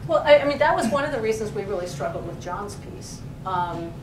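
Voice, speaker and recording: A woman's voice: mid-range voice, lively intonation, brisk. Female academic, earnest and searching for words, heard from a distance in an echoey room. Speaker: professor and co-editor giving a library talk. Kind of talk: discussion in an academic talk. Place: room in a university library. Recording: echoey room, distant mic, background noise.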